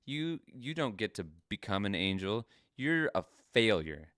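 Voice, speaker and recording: A man's voice: on the low side, sing-song, measured pace, a bit bright, no echo, clean studio sound, voice pushed up. A man voicing a bullying scientist who berates his creation with harsh contempt. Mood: contemptuous.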